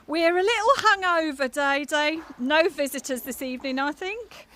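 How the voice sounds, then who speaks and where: Audio drama role: brash, world-weary female singer, high-pitched, swears freely, quick to flare up. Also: a bit bright. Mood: playful, bawdy. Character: hot-tempered, foul-mouthed, world-weary French cabaret singer.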